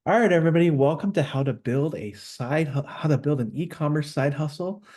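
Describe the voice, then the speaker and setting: A man's voice: fairly high pitch, expressive, fairly quick, a little mellow. Upbeat male webinar host. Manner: upbeat, promotional. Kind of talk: webinar introduction.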